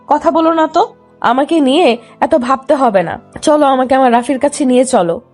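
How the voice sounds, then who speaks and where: A woman performs a stubborn, lovesick young woman with a high voice, pleading in a childish, pouty way. It is an audio love drama, with music running behind her. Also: moderate pace, a little mellow. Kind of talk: dramatised love story narration.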